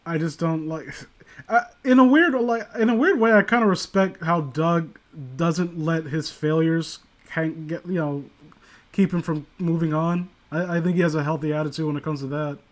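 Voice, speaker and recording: A man with a somewhat high voice talks casually as host of an online group call. He rambles through his opinions in an informal, profane way. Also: moderate pace. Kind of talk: casual chat, opinionated commentary.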